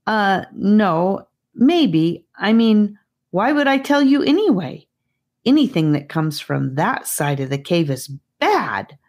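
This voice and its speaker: Woman as a guarded, lively-pitched young girl. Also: slow pace. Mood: flustered, wary. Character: guarded young cave girl, suspicious, earnest sentry.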